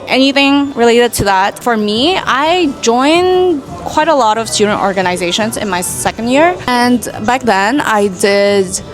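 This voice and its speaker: A young woman in her twenties from Indonesia, chatting casually in a Q&A vlog, full of "like"s and asides. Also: mid-range voice. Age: 20s. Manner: chatty, many "like"s, quick asides. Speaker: vlogger. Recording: music playing in the background.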